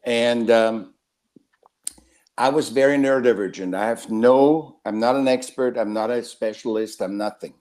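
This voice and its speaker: A man, a guest artist heard over a video call, wanders through his thoughts. His sentences stop and restart, and he circles back to find a word. His voice sits at an ordinary middle pitch for a man. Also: a little mellow. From Austria. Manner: rambling, halting, with many restarts. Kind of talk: casual interview talk.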